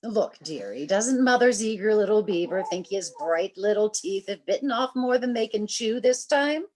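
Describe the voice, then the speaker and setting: A woman's voice: medium pitch, lively intonation, moderate pace, lightly acted. A woman playing a patient, drily teasing middle-aged wife in an old-time radio comedy. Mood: dry teasing. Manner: dry, gently sardonic.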